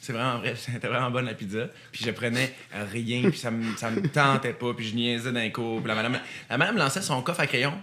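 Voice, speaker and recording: A man's voice: medium pitch, fairly quick, hardly any pauses, bright, forceful tone. A man with a Quebec French accent chatting casually and jokingly as a podcast guest, rambling and self-deprecating. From Quebec, Canada.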